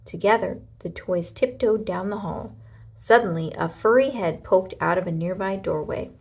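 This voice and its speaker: A woman narrating a children's storybook aloud in an expressive way, with deliberate dramatic emphasis. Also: medium pitch, husky, rough recording.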